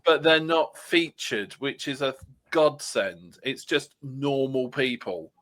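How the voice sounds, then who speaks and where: A man talking casually as a podcast panellist, sometimes pausing to search for his words. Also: mid-range voice, animated, fairly bright tone. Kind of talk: casual fan discussion.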